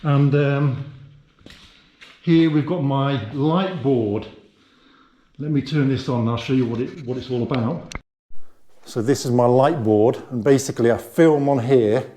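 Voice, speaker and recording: A British man talks casually into a handheld camera while walking around his office. His voice sits at an ordinary middle pitch, and he rambles with plenty of fillers and restarts. The room around him is echoey. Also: measured pace, long pauses, mellow. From United Kingdom. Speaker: vlogger. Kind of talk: casual walking-tour vlog. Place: his own indoor office and studio. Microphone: handheld camera mic.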